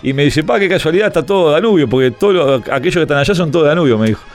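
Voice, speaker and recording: A man's voice: on the high side, expressive, racing, faint music behind. A man with a Uruguayan accent hosting a casual sports chat, teasing and colloquial, repeating words for emphasis. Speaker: radio show host.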